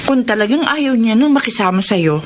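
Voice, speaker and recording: An actress playing a concerned, frank older sister with a Filipino accent in a radio drama. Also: female voice, fairly high pitch, animated, brisk, natural voice.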